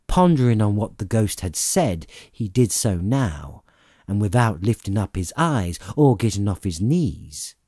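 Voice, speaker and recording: A man's voice: lowish voice, sing-song, a little slow, mellow, no echo, clean studio sound. A man narrating classic fiction as a dramatic audiobook reading.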